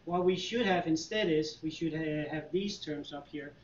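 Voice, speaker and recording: A middle-aged man with a Danish accent, giving a relaxed, chatty tech talk with many fillers and restarts. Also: on the high side, normal speed, slight background noise. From Denmark. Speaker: conference presenter, consultant. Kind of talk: user group tech presentation. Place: meeting room. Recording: echoey room, distant mic, dull lo-fi sound.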